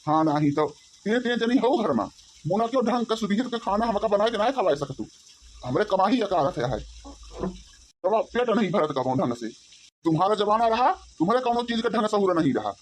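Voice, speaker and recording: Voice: mid-range voice, animated, brisk, slight background noise, close to an everyday voice. An actor voicing a grumbling, suspicious elderly village father-in-law in a comedy cartoon, rambling with a rustic Awadhi accent. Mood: accusing, complaining. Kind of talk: comic cartoon dialogue in village dialect.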